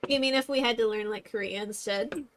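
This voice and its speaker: A woman's voice: fairly high pitch, brisk, fairly bright tone, slight background noise. A woman from the Philippines chatting casually as a podcast co-host, often giggling mid-sentence. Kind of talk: casual chat.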